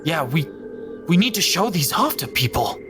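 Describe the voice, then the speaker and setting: A man's voice: sing-song, moderate pace. An actor playing a brash, cocky young man sneaking onto a government base, shrugging off any worry. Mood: alarmed. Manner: brash, dismissive, jumpy pitch. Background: music.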